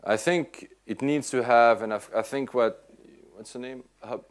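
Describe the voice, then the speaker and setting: A Swiss-born man answering audience questions in casual, animated talk, often restarting his sentences. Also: mid-range voice, a little slow. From Switzerland. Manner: animated, many restarts and repeats. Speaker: tech speaker answering questions. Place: lecture room at an institute.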